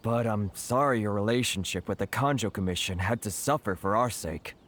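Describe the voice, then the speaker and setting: A man's voice: on the low side, a little fast, a bit bright, a little hiss or hum, close to an everyday voice. A man voicing a grateful, apologetic townsman in a video game.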